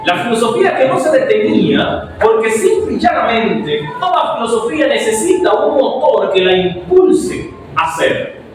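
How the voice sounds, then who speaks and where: A man's voice: extremely high pitch, sing-song, moderate pace. A young Dominican man giving an academic speech as a philosophy student, with many rhetorical questions put to the audience. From Dominican Republic. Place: event hall before an audience. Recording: very echoey, distant mic with noise.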